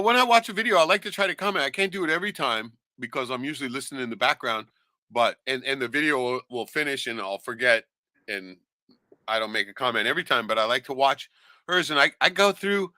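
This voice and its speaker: A man in his late fifties on a livestream, loud and profane, laughing often. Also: on the high side, animated, quick pace, no room sound. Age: 50s. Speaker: livestream co-host. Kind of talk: casual livestream chat.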